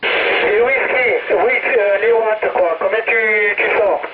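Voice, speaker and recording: A man's voice: high voice, slow pace. A man talking casually as a CB radio operator, repeating himself and punctuating his phrases with filler tags, over a radio link. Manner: repetitive, peppered with "hein" and "voilà". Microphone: CB transceiver microphone. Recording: tinny narrowband CB radio, loud static.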